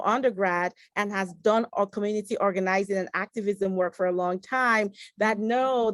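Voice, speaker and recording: A woman from Nigeria, a physician and professor, whose first language is Igbo, speaking as a guest in an earnest, articulate, measured way with emphatic stresses. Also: medium pitch, no echo, clean studio sound. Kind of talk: interview on a talk show.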